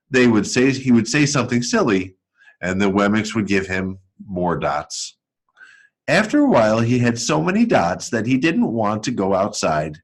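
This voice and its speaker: Animated man reading a children's storybook. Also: fairly low pitch. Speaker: school educator reading aloud to pupils. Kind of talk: storybook read-aloud for children.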